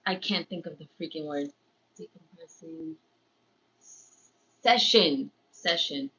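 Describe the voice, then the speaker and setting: Bright, chatty New woman. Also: low voice, lively intonation, takes it slowly, breathy edge. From born in Ecuador, raised in New York. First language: Spanish. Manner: chatty, rambling, slips into Spanish phrases. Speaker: livestream host, crafts vlogger. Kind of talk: casual livestream chat. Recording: slightly dull, lo-fi stream audio.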